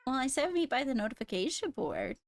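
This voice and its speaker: A woman's voice: sits high, huge pitch swings, brisk, dry recording. A woman chatting casually with a group while playing a roleplay game. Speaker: Minecraft roleplay player.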